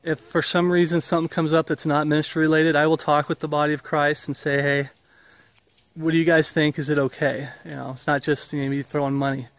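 A man's voice: a little high, level tone, fairly quick. Man talking while walking a wooded trail. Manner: casual, rambling, earnest preaching tone. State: getting over an illness. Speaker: ministry leader. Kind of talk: walk-and-talk video update. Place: outdoors on a wooded path. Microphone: handheld camera at arm's length.

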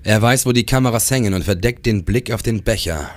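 An actor playing a troubled police detective who narrates in a matter-of-fact, brooding way in a crime audio drama. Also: male voice, lowish voice, brisk, natural voice. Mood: focused.

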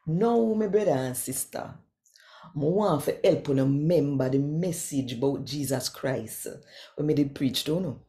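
A Jamaican woman in her sixties giving an expressive, deliberate scripture reading in Patois as host. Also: low voice. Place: small quiet room indoors.

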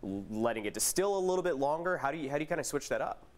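A man's voice: fairly high pitch, animated, racing. A man co-hosting a live morning TV segment in a studio, easygoing and bantering. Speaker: TV co-host.

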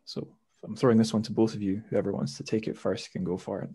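A man's voice: fairly low pitch, fairly even intonation, quick pace, warm tone, breathy edge. A man hosting an interview podcast, chatty and self-deprecating as he asks his guests questions. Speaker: podcast host. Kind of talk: casual interview.

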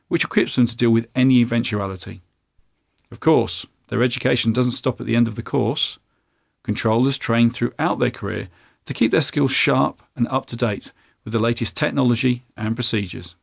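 A man, presenting a scripted explainer in a clear, measured way, addressing the listener directly. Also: medium pitch, no echo, studio quality. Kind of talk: scripted narration to camera. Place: studio, indoors.

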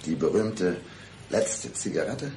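An actor playing a coldly calm husband who plots murder, speaking deliberately in a German radio crime drama. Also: male voice, low-pitched, slight background noise, full character voice. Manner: deliberate, menacing composure, philosophizing. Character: coldly calm husband plotting to kill his wife. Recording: 1990s radio play with music behind.

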